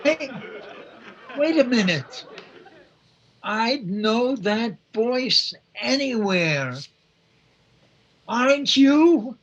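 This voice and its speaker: A woman's voice: a little high, animated, extremely slow, pauses often, lo-fi sound, a faint crowd, slight background noise. A woman playing a wry, officious police officer in 1940s-style radio comedy.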